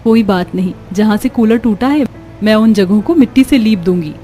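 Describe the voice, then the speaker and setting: A woman voicing a patient, hardworking poor daughter-in-law in a cartoon, gentle and practical. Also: mid-range voice, moderate pace, close to an everyday voice. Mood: calm, reassuring. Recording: music behind the voice.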